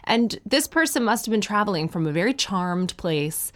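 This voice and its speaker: A woman's voice: mid-range voice, lively intonation, moderate pace. A woman in her thirties from Canada, a touring comedian chatting as a guest with dry, self-mocking humor. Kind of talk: casual chat.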